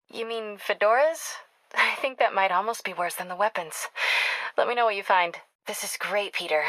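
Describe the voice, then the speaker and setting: An actress playing a sharp, determined young American investigative reporter in a video game, speaking in a wry, brisk, conversational way as if on the phone. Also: female voice, medium pitch, no room sound, close to an everyday voice, low-quality recording. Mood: wry, teasing, then businesslike. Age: young adult.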